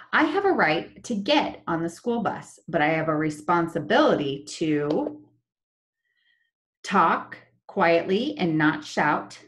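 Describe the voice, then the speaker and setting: A young woman teaching children in an online lesson, talking slowly and in a sing-song way over a laptop webcam. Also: lowish voice. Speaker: special education teacher. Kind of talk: online lesson with screen share. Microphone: laptop webcam, video call.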